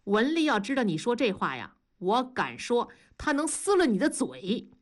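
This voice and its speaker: A woman's voice: fairly high pitch, very animated, moderate pace, pitched above the performer's own voice. A woman voicing an aggrieved male factory worker who gripes sarcastically in colloquial speech, in an audiobook. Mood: dejected grumbling.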